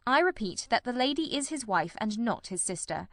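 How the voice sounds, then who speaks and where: A woman's voice: fairly high pitch, sing-song, fairly bright tone, no echo. Woman as assured male detective, dry close sound. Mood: calm, analytical. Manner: measured, explanatory, assured. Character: calm, incisive male detective explaining his deductions. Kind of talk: audiobook-style dramatised reading.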